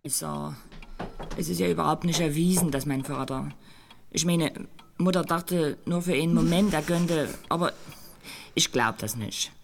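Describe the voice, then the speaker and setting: Creaky, halting, anxious grown-up child. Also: female voice, low-pitched. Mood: anxious, defensive. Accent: put-on German dialect. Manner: halting, defensive. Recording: noisy audio drama clip playback.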